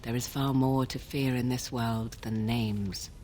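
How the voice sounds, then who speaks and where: An actress playing a mysterious, guarded young sorceress in a fantasy game, speaking in a cool, formal, archaic manner. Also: female voice, rumbling low, fairly even intonation, a little slow, warm tone, slight background noise. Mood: cryptic, measured. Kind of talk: fantasy video game dialogue.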